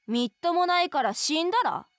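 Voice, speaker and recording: An indignant teenage girl from a visual novel, scolding with a cutting tongue. Her voice is high and lively, the words come quickly, and it sounds dry and close over music. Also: no echo. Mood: scornful. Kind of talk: Japanese visual novel voice acting.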